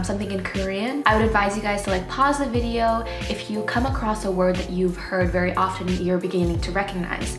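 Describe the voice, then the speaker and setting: A woman's voice: mid-range voice, echoey room. A young woman whose first language is Nepali, giving casual tips in a chatty vlog full of fillers. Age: young adult. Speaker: vlogger.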